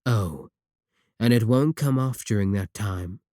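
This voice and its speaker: A man as a slow-talking, sly evil warlock. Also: on the low side, no room sound, studio quality, close to an everyday voice. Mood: sly, bargaining. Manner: taunting, drawn-out, deliberate phrasing with dark chuckles. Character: sly, sadistic evil warlock, smug and possessive. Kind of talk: scripted roleplay audio drama, spoken to the listener.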